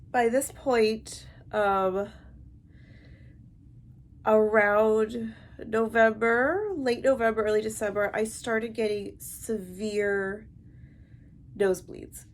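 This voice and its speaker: A woman with a stuffy, heavily congested nasal voice, talking to camera in a halting, explanatory way in a personal vlog. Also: fairly high pitch, fairly even intonation. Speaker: vlogger. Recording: amateur recording with light background noise.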